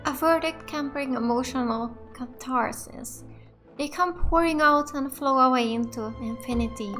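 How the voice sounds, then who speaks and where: A woman playing a gentle, tender young female character in a video game. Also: high voice, moderate pace. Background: soft game music.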